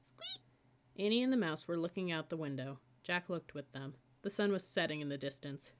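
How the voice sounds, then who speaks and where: A woman's voice: sits low, lively intonation, a little fast. A woman reading a children's audiobook as scripted story narration. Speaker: audiobook reader.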